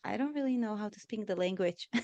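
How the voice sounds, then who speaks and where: A woman in her thirties, from Israel and born in Russia, telling her life story as a podcast guest, animated and full of fillers, acting out her past self in little quotes. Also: medium pitch, moderate pace, no room sound. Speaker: podcast guest, forest therapy guide. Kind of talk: personal storytelling interview.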